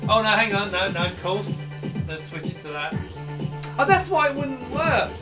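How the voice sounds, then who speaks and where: A man's voice: high-pitched, sing-song, normal speed, loud music behind the voice. A man, giving an enthusiastic, rambling, casual hands-on computer demonstration. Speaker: retro computer vlogger. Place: small indoor home computer room.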